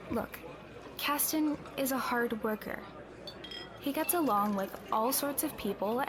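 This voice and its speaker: A woman's voice: on the high side, close to an everyday voice. A woman playing a young tavern keeper and confidante who talks bluntly but reassuringly, in a conversational roleplay drama. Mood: defending.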